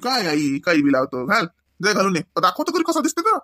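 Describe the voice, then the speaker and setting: A man's voice: high-pitched, wildly swooping pitch, fairly quick, bright, forceful tone, no room sound, studio quality, full character voice. A man playing an affectionate young adult son in a village comedy cartoon, earnest and persuasive, with a Varhadi Marathi accent. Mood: insistent. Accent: Varhadi Marathi from Vidarbha.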